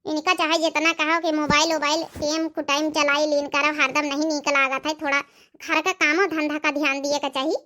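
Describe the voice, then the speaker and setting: Extremely high, shrill village daughter-in-law acted by a woman. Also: flat delivery, moderate pace, pushed and bright. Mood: concerned. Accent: Awadhi. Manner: gossipy, advising, talkative. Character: chatty, opinionated village daughter-in-law, elder sister-in-law. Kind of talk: animated family comedy dialogue.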